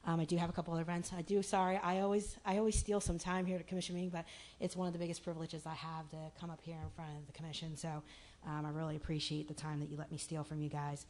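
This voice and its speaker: Raspy, somewhat low woman, city official; casual, filler-heavy public remarks over faint room hiss. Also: flat delivery, racing, darkish tone. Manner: chatty, full of fillers and restarts. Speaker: city official at commission meeting. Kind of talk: public address at a meeting. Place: city commission meeting room.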